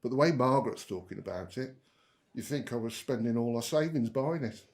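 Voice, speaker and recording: A man's voice: medium pitch, animated, brisk, natural voice. An actor playing a proud, chatty middle-aged working-class father in a naturalistic audio drama, homely and enthusiastic.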